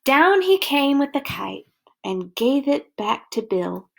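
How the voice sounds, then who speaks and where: A woman storyteller reading a children's story aloud, expressively, with deliberate dramatic emphasis. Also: sits high, sing-song, gravelly.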